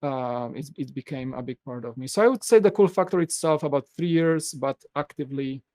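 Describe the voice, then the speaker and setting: A man from Poland with a Polish accent, a guest in a casual podcast interview, telling stories in a lively way. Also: medium pitch, fairly quick, no echo, studio quality. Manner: animated storytelling, acts out quotes.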